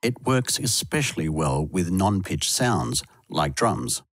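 A deep-voiced man delivers scripted tutorial narration. He explains things in a measured way, step by step. The voice-over is dry and close, with very little room around it. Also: big pitch swings, fairly bright tone, no echo, faint music behind. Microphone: close voice-over mic, dry studio sound.

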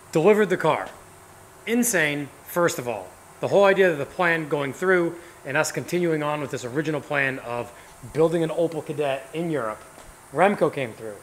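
A man in his thirties hosting a car vlog, talking fast and with energy while working in a tire workshop. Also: a little high, a bit bright, slight background noise. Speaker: car builder and vlog host. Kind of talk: casual on-camera vlog. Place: indoor tire workshop garage.

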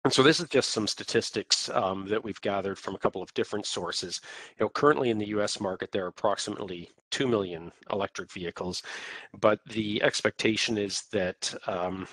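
A man with a Canadian accent giving an informal webinar presentation in a halting, explanatory way. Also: lowish voice, a bit bright, dry recording, low-quality recording.

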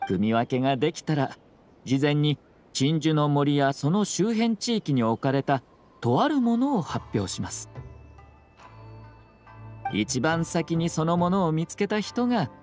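A courteous young noble in an anime video game. His slightly high voice moves with very varied intonation, composed and formal with a sly, teasing edge, over faint music. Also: big pitch swings, a little mellow. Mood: composed explaining. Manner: polite, formal, measured, sly.

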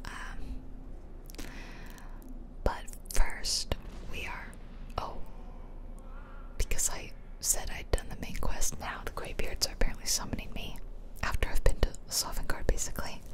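A woman giving casual, chatty ASMR commentary over video game play, rambling along as she goes. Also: a little slow. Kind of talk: whispered ASMR gameplay commentary.

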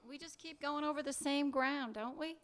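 A weary, impatient woman lover, as a young woman reads her aloud. The voice is fairly high but soft and tired, with short, curt replies. It is read at a table mic in a live fiction reading. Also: moderate pace, close to an everyday voice. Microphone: table mic on a stand.

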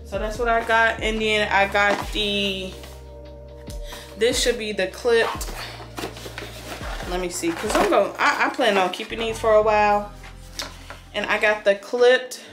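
Female vlogger, thirties, American accent. Casual, conversational, rambling as she thinks aloud; mid pitch, recorded indoors at home. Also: a little slow, pauses often, a bit bright, soft background music. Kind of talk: casual chatty unboxing vlog. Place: small room indoors at home.

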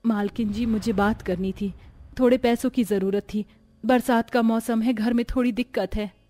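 A woman's voice: fairly high pitch, fairly even intonation, moderate pace, warm tone, close to an everyday voice. A woman voicing a poor, caring sister-in-law in a cartoon, with a humble, pleading manner. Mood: meek.

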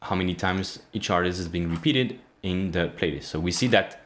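Low-voiced man with halting, filler-heavy delivery. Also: a bit bright. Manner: halting, many fillers and restarts. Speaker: programming tutorial host. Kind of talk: casual screen-share coding walkthrough. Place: small indoor room, plain wall.

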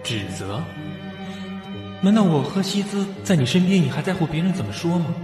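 A man's voice: on the high side, very animated, moderate pace. An actor in a radio drama playing a protective, anguished husband who soothes his wife. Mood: earnest, pleading. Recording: echoey hall reverb, music behind.

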